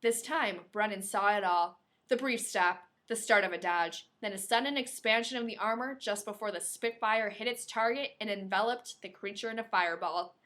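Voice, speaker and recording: A woman's voice: mid-range voice, moderate pace, a bit bright. A woman podcast host reading a novel aloud expressively, breaking into playful, casual asides.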